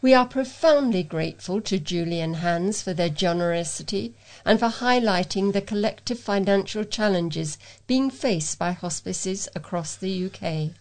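A woman's voice: mid-range voice, moderate pace, few pauses. Woman reading local news. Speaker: volunteer newsreader and presenter for a talking newspaper. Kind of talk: read-aloud local news.

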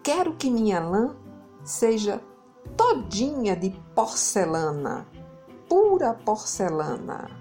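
Lady doing a vain self-pitying boy sheep for a kids story, slow whiny pleading, swoopy, music under it. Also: fairly high pitch, big pitch swings, warm tone, full character voice. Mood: grandiose. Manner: pleading, drawn-out, dramatic.

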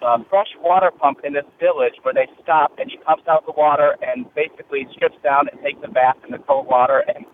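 Male listener calling a show, thin phone-line sound with light noise, slightly high voice, effusive and conversational. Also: a little fast, few pauses. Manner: gushing, enthusiastic. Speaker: caller leaving a listener voicemail. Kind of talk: casual, chatty fan message. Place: in a car, driving.

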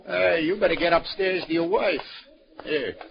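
An actor playing a world-weary, street-wise police detective in a vintage radio crime drama, with an American accent and clipped, brusque delivery. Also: male voice, fairly high pitch, moderate pace, vocal fry, noisy background. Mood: matter-of-fact. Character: world-weary, hard-boiled male New York police detective. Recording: dull vintage radio recording, crowd murmur behind.

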